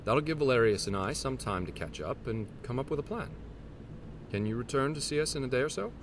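An actor playing a courteous travelling merchant in scripted fantasy game dialogue, with polite phrasing. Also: male voice, mid-range voice, expressive, a little fast, a little hiss or hum, natural voice.

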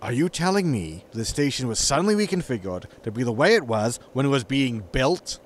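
An actor playing a grumpy, money-minded spaceship captain, impatient and sardonic, in a sci-fi comedy audio drama. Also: male voice, mid-range voice, big pitch swings, fairly quick, slight background noise, close to an everyday voice. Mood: puzzled, impatient.

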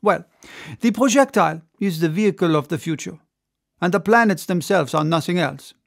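A man's voice: high voice, normal speed, creaky. A man voicing a cheerful, confident French adventurer giving an emphatic public speech in a storybook. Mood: emphatic, persuasive. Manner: oratorical, emphatic. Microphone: close studio microphone on desk.

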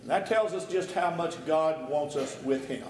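Older man, pulpit preacher, high-pitched, rises to emphatic peaks, faint hiss behind him. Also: moderate pace. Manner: swells from hushed to loud emphatic stretches. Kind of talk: sermon. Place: church meeting room. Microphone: podium microphone. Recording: echoey church room.

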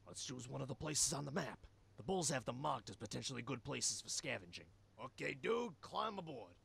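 A man's voice: mid-range voice, very animated, normal speed, gravelly, fairly bright tone, a little hiss or hum, exaggerated voice. A man voicing a scheming, cheerful small animal salesman with persuasive patter in a cartoon adventure game.

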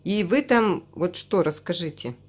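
A woman's voice: lowish voice, animated, measured pace, husky. A woman asking questions in a conversational interview, prompting and echoing back the answers. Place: indoor room.

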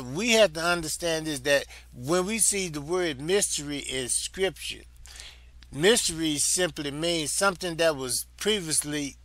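An older man with a Southern US accent, teaching a Bible lesson in a slow, deliberate way and repeating phrases for emphasis. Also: on the high side, pushed and bright, no echo. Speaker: Sunday school teacher.

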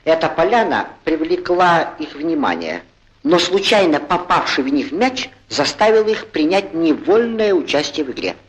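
A man playing an excitable, good-humoured football commentator in a 1940s cartoon, chatty and theatrical, on a noisy old film soundtrack. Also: a little high, normal speed. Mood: chatty, genial. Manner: chatty, theatrical, exclaiming, correcting himself. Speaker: sports commentator.

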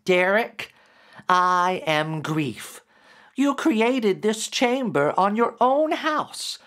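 Brief: narrator doing a sly little grief demon for a storybook, hissing, drawn-out, pitched high and strange. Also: a bit bright. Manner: hissing, slow and knowing. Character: sly male grief demon, small, mocking.

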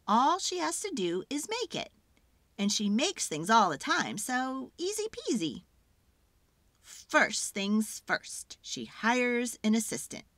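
Lady doing a dramatic kids book read aloud, slow pace, really lively pitch, bright voice. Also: no echo. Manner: expressive, deliberate, acts out sounds and feelings. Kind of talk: dramatic storybook narration.

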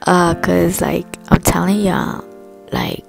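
A woman's voice: on the low side, fairly even intonation, slow, vocal fry, faint music behind. A woman in her twenties, from Ecuador, talking hesitantly and confidingly to a phone camera held at arm's length in a small room. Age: 20s. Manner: hesitant, confiding, lots of fillers. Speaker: vlogger.

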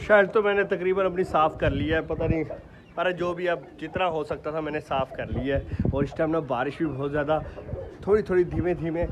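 A young man vlogging his poultry yard on a phone held at arm's length, chatting and calling out loudly to his birds. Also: on the high side, brisk. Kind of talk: casual selfie vlog. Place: rooftop poultry yard outdoors, light rain. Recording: dull phone audio with outdoor noise.

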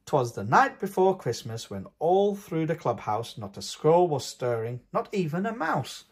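A man reads a storybook to young children in an expressive, singsong way. His voice sits a little high and swoops widely in pitch as he tells the tale. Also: normal speed, slightly rough. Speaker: host of a children's read-aloud channel.

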